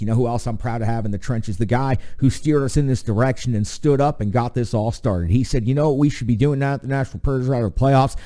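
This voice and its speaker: A man hosting a hockey show, delivering analysis and sponsor reads with energetic, hyped delivery. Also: on the low side. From United States. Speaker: podcast host.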